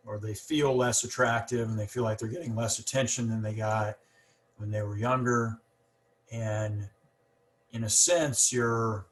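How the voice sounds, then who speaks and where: A male host talks in a relaxed, conversational way, and his speech is broken by a stutter, repeated words and fillers. His voice sits on the low side, with a faint hiss of noise behind it. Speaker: podcast host. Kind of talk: casual conversation.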